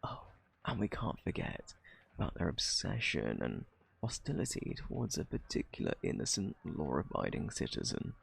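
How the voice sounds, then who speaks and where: A man acts out a cunning gentleman thief in an ASMR roleplay, British and shamelessly flirtatious. His husky voice sits low, thick with gravelly fry. He swoops through his lines in a smug, taunting drawl, feigning innocence as he teases. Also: wildly swooping pitch, moderate pace, full character voice. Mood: taunting, insinuating. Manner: teasing, drawling, mock-innocent.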